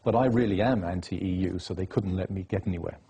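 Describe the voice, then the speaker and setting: A man's voice: deep voice, fast, gravelly, warm tone, a little hiss or hum. A man being interviewed, laying out his case insistently and reeling off dates and facts. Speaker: interview guest. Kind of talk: political interview.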